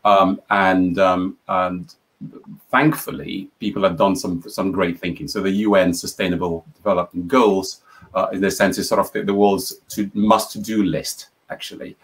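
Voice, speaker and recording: A man's voice: low-pitched, level tone, moderate pace, rough recording. A middle-aged man on a video call panel from a home office, explaining his ideas thoughtfully with frequent ums. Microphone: webcam on a video call.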